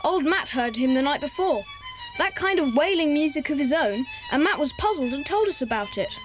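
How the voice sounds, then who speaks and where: A woman's voice: sits high, lively intonation, moderate pace, over music. A woman playing an earnest, plucky schoolboy club leader in a children's audio drama.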